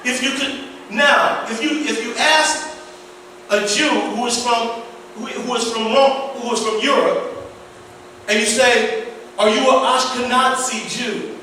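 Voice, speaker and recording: Pastor, male, high and bright, slow deliberate delivery; recorded far off in a reverberant church hall. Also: fairly even intonation, slight background noise. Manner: slow, stumbling over Hebrew names, repeats words. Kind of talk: Bible teaching sermon. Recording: echoey hall, voice far from mic.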